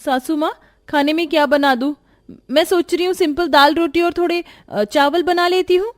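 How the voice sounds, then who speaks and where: Woman voicing an exasperated high-pitched young daughter-in-law. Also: fairly even intonation, moderate pace, lightly acted. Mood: pleading. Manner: melodramatic complaining. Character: put-upon young Indian daughter-in-law, dramatic, exasperated, whiny. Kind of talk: Hindi cartoon voice acting.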